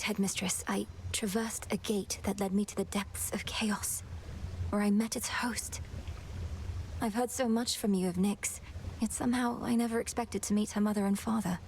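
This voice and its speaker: Actress playing determined young goddess, formal fantasy diction, earnest, some fry, game ambience noise. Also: female voice, mid-range voice, fairly even intonation, normal speed, a little mellow, lightly acted, low-quality recording. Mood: solemn, earnest. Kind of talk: theatrical video game dialogue.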